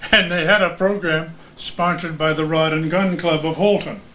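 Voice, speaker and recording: A man's voice: a little high, steady tone, unhurried, pushed and bright, slight background noise. An older man, a former radio announcer, telling anecdotes in casual chat.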